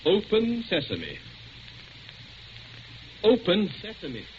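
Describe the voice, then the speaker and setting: Posh british gentleman detective, breezy n witty, really swoopy delivery, muffled noisy old 40s radio. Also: high-pitched, huge pitch swings, slow, heavily acted. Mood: breezy, wry. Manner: breezy, gallant, ironically polite. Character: urbane, witty English aristocratic gentleman detective. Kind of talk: 1940s radio acting.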